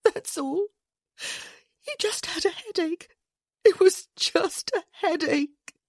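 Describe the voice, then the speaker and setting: A woman voicing a refined young aristocratic wife in an audiobook, playful and deeply emotional. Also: lively intonation, normal speed, a little mellow, dry recording, clean studio sound, lightly acted. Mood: broken, sobbing. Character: young aristocratic wife, playful then desperately grief-stricken. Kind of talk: dramatic audiobook character acting.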